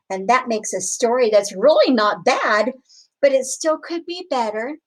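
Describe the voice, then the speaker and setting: Slow, higher-voiced woman reading a storybook. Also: poor audio. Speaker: storybook reader. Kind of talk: expressive children's picture book read-aloud.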